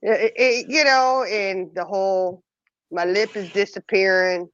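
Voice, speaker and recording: A woman's voice: medium pitch, fairly even intonation, measured pace, no echo, muffled recording. A woman co-hosting a casual chat, teasing and joking. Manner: teasing, dry joker. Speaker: podcast co-host.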